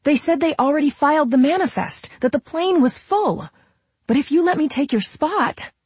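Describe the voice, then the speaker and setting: A woman's voice: high voice, animated, moderate pace, darkish tone, dry recording, pitched above the performer's own voice. A woman voicing an eager, persistent young woman who talks in an urgent, informal, pleading way, as in an audiobook. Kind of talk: audiobook character dialogue.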